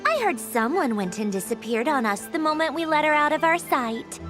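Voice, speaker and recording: A woman voicing a haughty, sharp-tongued young woman in a video game, scornful and easily flustered. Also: high voice, expressive, brisk, music in the background. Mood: mocking. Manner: scornful, mocking, indignant. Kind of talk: video game character voice acting.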